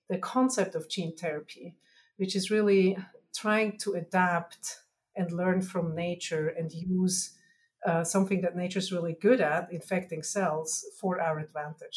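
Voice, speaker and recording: A woman's voice: lowish voice, steady tone, a little slow, a little mellow. A woman from Switzerland with a Swiss German accent, explaining science as an interview guest in careful, sometimes hesitant phrasing.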